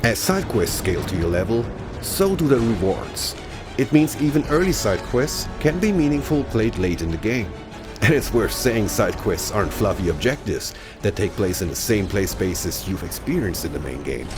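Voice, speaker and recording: A man narrates a scripted game showcase as its presenter. His voice is low with animated intonation, measured and explanatory, with music playing clearly behind him.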